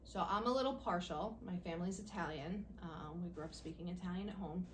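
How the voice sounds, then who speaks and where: A middle-aged woman, a school principal, answering interview questions in a measured, explanatory way. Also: lowish voice, a little hiss or hum.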